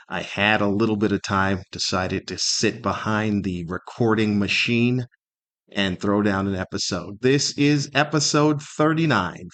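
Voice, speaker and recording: A man's voice: fairly low pitch, fairly bright tone. Wry, rambling man hosting a podcast. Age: middle-aged or older. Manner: wry, rambling, conversational, with rhetorical questions. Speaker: podcast host. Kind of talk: casual solo podcast monologue with humor.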